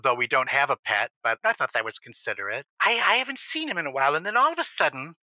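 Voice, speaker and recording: Guy doing a flustered lonely male caller, really sharp bright voice, all over the place, hesitant. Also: mid-range voice, big pitch swings, quick pace, dry recording, low-quality recording. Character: flustered, oblivious, lonely married radio caller. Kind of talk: semi-improvised comic audio drama.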